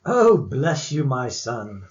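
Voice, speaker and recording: A performer playing a gentle, kindly, forgiving father, earnest in manner, in an audio drama. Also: medium pitch, big pitch swings, takes it slowly, muffled recording. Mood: kindly, cheerful.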